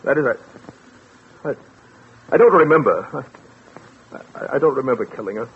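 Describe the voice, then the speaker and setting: An actor playing a frightened, confused and trusting murder suspect in a 1940s radio drama, stammering and pleading. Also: male voice, medium pitch, animated, a little slow, slightly rough, exaggerated voice. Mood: panicky, confused. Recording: tinny, noisy old radio recording.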